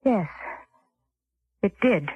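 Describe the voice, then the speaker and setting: An actress playing a tense young medical intern, bitter and clipped, in an old radio drama. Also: female voice, mid-range voice, lively intonation, very slow, breathy edge, no echo, full character voice. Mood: bitter grief. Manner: clipped, agitated, icily formal. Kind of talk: 1940s radio acting. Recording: dull, faintly noisy old radio recording.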